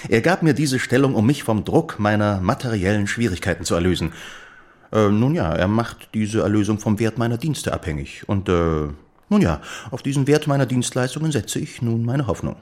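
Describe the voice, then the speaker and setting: An actor playing a pompous, debt-ridden middle-aged clerk in a German radio drama, florid in speech with hesitant pauses. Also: male voice, fairly low pitch, animated, a little mellow, close to an everyday voice. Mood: pompous, earnest.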